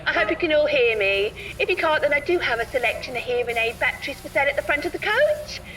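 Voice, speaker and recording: A chirpy female coach hostess announces officiously in a high, sing-song voice, a little away from the mic. Her intonation swoops up and down. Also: big pitch swings, fast talker, bright, forceful tone, distant mic, slight background noise, heavily acted, poor audio. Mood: chirpy, formal. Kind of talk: audio play comedy acting.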